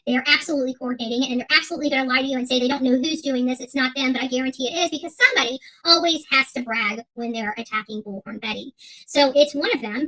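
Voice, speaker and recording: A woman's voice: high-pitched, pushed and bright, rough recording. A woman hosting an online channel, ranting off the cuff to her audience in a combative, emphatic way, repeating phrases for weight. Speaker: host of an online commentary channel. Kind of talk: unscripted rant to an audience.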